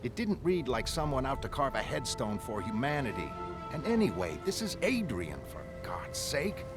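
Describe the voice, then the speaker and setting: A man's voice: medium pitch, sing-song, normal speed, over music, voice pushed up, lightly acted. An actor playing an earnest, worried middle-aged male costumed hero in a motion comic, plain-spoken and exasperated. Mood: worried, disbelieving.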